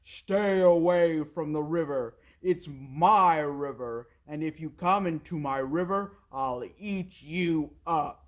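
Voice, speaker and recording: A woman voicing a big, grumpy male crocodile in a storybook, with a loud, drawn-out delivery. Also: sits low. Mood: selfish, threatening.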